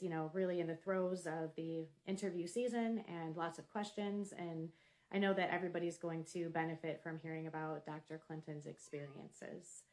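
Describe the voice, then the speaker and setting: Lower-pitched woman hosting a live interview. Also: a little mellow. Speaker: host of a live online interview.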